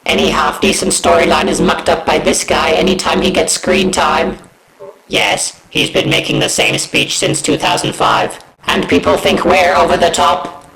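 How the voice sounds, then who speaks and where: A man voicing a bossy, exasperated alien war machine in a toy parody, with a croaking voice and commanding, staccato delivery. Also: a little high, huge pitch swings, normal speed, pushed and bright, exaggerated voice. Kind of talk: fan parody voice acting. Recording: echoing room sound.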